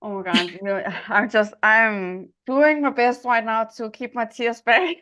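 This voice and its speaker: A woman from Denmark with a Danish accent, hosting a personal, reflective conversation in non-native English, haltingly searching for her words. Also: on the high side, steady tone, fairly bright tone, smooth, dull audio. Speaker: interview host.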